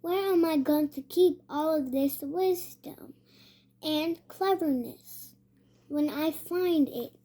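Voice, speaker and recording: A child reading a storybook aloud haltingly, sounding out words and repeating them. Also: high-pitched, mellow. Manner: hesitant, sounding out words, repeating after prompts. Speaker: young reader.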